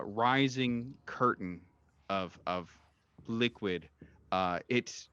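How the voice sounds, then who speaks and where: A man narrating a horror tabletop roleplaying game as game master, feeling out his words with halting repetitions. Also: mid-range voice, a bit bright.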